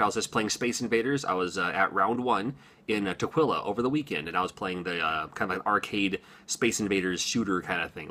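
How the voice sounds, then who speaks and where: A man's voice: on the low side, sharp tone. A man in his forties rambling casually to his viewers, chatty with lots of ums and little chuckles. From Washington, USA. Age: 40s. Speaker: gaming YouTuber. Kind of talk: casual unscripted monologue to camera.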